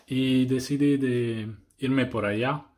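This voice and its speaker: A 26-year-old man speaking Spanish slowly and hesitantly. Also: medium pitch, steady tone, darkish tone. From Switzerland. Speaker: interviewee.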